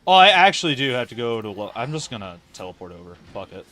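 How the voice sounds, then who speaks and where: A man's voice: medium pitch, very animated, quick pace, sharp tone. A man casually commentating a livestream of an online fantasy game, rambling and thinking aloud with casual swearing.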